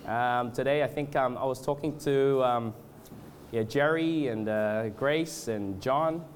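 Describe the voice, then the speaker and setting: A man from Australia and Canada with a cold, speaking as a preacher in an informal, halting, hesitant way. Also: medium pitch, a little hiss or hum. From born in Australia, moved to Canada. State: has a cold. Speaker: guest preacher at a church revival gathering. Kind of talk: informal sermon with congregational prayer.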